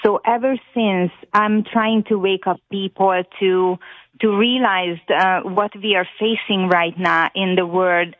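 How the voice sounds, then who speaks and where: A woman's voice: fairly low pitch, no echo. A woman with a Hungarian accent and non-native grammar, calling a radio show over the phone to give an earnest, emphatic personal account. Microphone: telephone. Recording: tinny narrow phone line.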